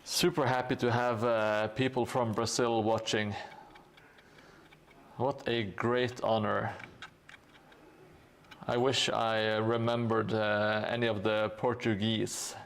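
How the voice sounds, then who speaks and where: A man streaming live, chatting casually and thanking viewers while he paints, with a relaxed, hesitant delivery full of fillers. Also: lowish voice, takes it slowly.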